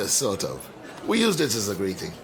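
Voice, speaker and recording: An actor playing a reflective older academic mentor in a radio drama, eloquent, measured and wry. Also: male voice, on the low side, wildly swooping pitch, noisy background. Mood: amused. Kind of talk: naturalistic radio drama dialogue.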